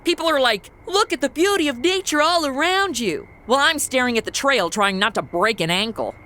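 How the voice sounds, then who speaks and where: A woman playing a sarcastic, excitable young amateur sleuth in a comedy audio drama, with a flippant, exclamatory delivery. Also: high voice, a little fast.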